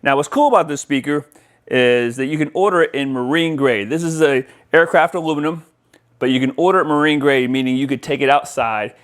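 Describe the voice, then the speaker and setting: A man's voice: on the high side, fairly quick, a bit bright, smooth. A man presenting a product demonstration in a casual, explanatory way, speaking directly to viewers. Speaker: presenter for an audio-video installer.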